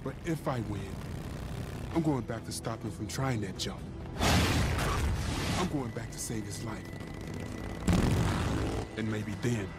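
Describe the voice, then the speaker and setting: A hardened killer haunted by his past, confessing in a video game cutscene. His voice is low, bitter and brooding, set over loud music. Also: takes it slowly, long pauses. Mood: brooding, resolute. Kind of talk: first-person game cutscene narration.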